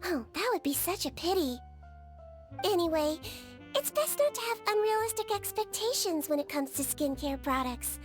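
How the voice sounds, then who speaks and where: A woman voicing a small, sweet young female melusine nurse in a video game, with a childlike voice and a gentle, earnest, reassuring manner. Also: very high-pitched, big pitch swings, normal speed. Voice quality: childlike, girlish. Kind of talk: video game character voice lines. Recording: studio voice over game music.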